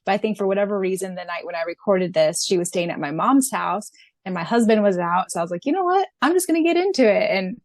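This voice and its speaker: A woman's voice: medium pitch, expressive, fast talker, no room sound. Young woman chatting on a dry-sounding podcast. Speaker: podcast co-host and audiobook narrator. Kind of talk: casual fan discussion podcast.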